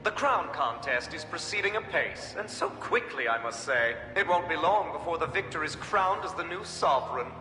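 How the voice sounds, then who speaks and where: An actor playing a scheming, smooth-talking nobleman in a video game, with a silky, theatrical delivery. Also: a little high, lively intonation, normal speed, hardly any pauses, lightly acted. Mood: smug. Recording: roomy hall reverb. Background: game music.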